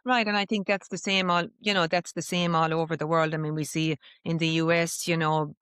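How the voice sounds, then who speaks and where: A woman hosting a podcast in a clear, smooth voice. She is supportive and keeps the talk moving with agreeing remarks, sometimes restarting her sentences. The sound is dry and close. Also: deep voice, little pitch movement, fairly quick, a bit bright, dry recording. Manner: supportive, frequent "mm" and "yeah" backchannels, hesitant restarts. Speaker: podcast host. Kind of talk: podcast interview.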